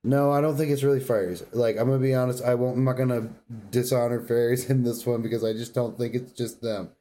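A man's voice: medium pitch, fairly even intonation, fast, warm tone. A man co-hosting a podcast with casual, slangy, laid-back banter. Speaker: podcast co-host.

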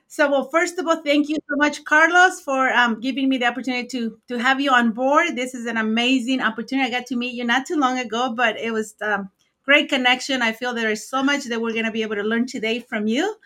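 A woman's voice: a little high, steady tone, fast talker, sharp tone. A woman who speaks natively, hosting a podcast interview in an effusive, enthusiastic, conversational way. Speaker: podcast host.

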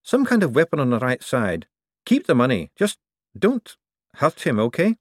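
A man playing a nervous young buyer, anxious and strained, pushed higher than the narration. His pitch jumps around a great deal. The recording is dry and close. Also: wildly swooping pitch, normal speed, dry recording.

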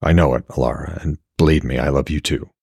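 A man's voice: extremely low pitch, fairly even intonation, fast, creaky, darkish tone, slightly rough, no room sound, studio quality, pitched below the performer's own voice, heavily acted. A man voicing a devoted, troubled warrior in a fantasy audiobook, speaking in a subdued, earnest way. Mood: tender. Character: devoted blind warrior god, long-lived, troubled lover. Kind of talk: fantasy audiobook character dialogue.

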